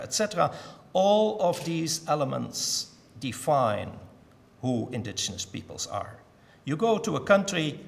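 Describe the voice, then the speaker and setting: An older man from the Netherlands giving a measured, thoughtful talk at a symposium, with frequent pauses and ums. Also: a little high, vocal fry. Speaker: symposium speaker. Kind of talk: academic conference talk. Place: museum lecture hall. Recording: long hall reverb.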